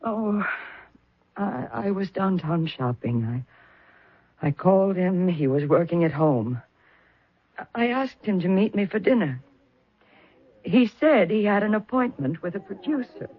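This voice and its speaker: An actress playing a proud widow who stammers defensively under questioning, in a 1970s radio drama. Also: female voice, lowish voice, lively intonation, measured pace, pauses often, breathy edge, no echo. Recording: muffled dry old radio broadcast.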